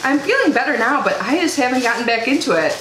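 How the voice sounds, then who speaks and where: A young woman with a slightly high voice talks through an unboxing in a relaxed, rambling way. Her voice is noticeably nasal from allergies. The room is echoey. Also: fast, a little hiss or hum. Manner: chatty and bubbly, lots of likes and ums. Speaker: unboxing vlogger. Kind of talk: casual unboxing chat.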